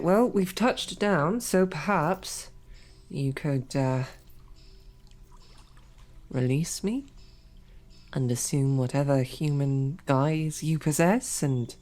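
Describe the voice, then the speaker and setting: A woman's voice: low voice, darkish tone. A woman voicing a captive young woman knight who talks in a dry, formal, wryly understated way, in an audio roleplay drama. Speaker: voice actor.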